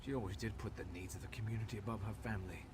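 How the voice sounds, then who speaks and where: An actor playing a devoted elf husband in a video game, speaking with grim resolve. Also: male voice, fairly low pitch, fairly even intonation, rapid-fire, background noise, lightly acted. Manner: frantic, then grimly resolved.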